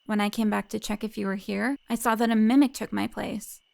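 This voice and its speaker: A woman's voice: mid-range voice, steady tone, fairly quick, vocal fry, a little mellow, dry recording. A woman acting an earnest, urgent captive godmother in a cinematic audio roleplay. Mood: urgent pleading. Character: earnest, pleading female captive, hero's godmother.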